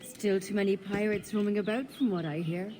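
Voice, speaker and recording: An actress playing a spirited, brisk female pirate quartermaster in a video game cutscene. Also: mid-range voice, fairly even intonation, warm tone, close to an everyday voice. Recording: noisy game ambience behind.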